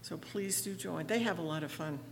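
A woman pastor with a rough voice this morning, giving friendly church announcements with many fillers and leading the liturgy. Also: on the low side, normal speed, a little hiss or hum. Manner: friendly, chatty, with frequent fillers. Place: church sanctuary.

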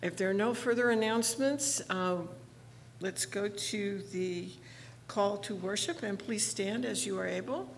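A woman pastor speaks in a chatty, friendly way, pausing often on "uh" and "um". Her voice sounds a little rough this morning, and it sits at an ordinary pitch. A faint room ambience hangs behind her. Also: slight background noise. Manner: friendly, chatty, with frequent fillers. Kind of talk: church announcements and liturgy. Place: church sanctuary.

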